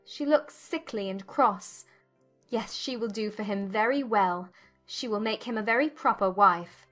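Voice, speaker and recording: A woman playing a witty, outspoken young gentlewoman in a dramatized audiobook, arch and dryly mocking. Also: medium pitch, unhurried, soft background music, natural voice. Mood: wry, disapproving. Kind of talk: dramatized audiobook character acting.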